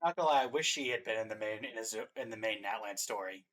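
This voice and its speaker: A man's voice: fairly low pitch, fast, a bit bright. A man co-hosting a reaction show, giving casual commentary.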